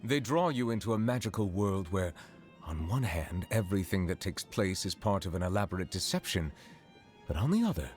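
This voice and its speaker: Ancient dragon magistrate, deep male voice, formal, measured, grave, reserved, courtly, video game dialogue, background music. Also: big pitch swings, darkish tone. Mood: composed, appreciative. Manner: formal, measured, stately diction. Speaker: video game character, chief judge. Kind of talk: scripted game character voice lines.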